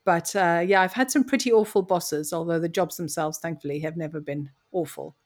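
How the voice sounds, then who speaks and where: A woman's voice: fairly low pitch, fairly quick. A woman from Mozambique, telling her story as an interview guest in an articulate, reflective way. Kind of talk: conversational interview.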